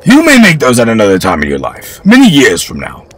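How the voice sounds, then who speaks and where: A man reading aloud, voicing a scheming older English lord who speaks slowly and coldly. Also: wildly swooping pitch, fairly bright tone. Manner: slow, low and cold.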